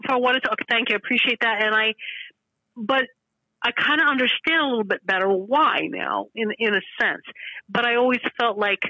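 A woman of fifty-five calling in to a show, speaking earnestly and candidly in halting, restarted sentences. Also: fairly high pitch, pushed and bright, no echo, poor audio. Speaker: regular caller to a show. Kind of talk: candid emotional conversation.

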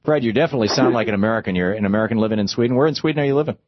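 A male talk radio host with a lowish voice, speaking into a studio microphone. He talks through arguments at length and often restarts his sentences. The sound is very dry and slightly dull. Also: racing, no room sound. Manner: discursive, argumentative, stops and restarts mid-sentence.

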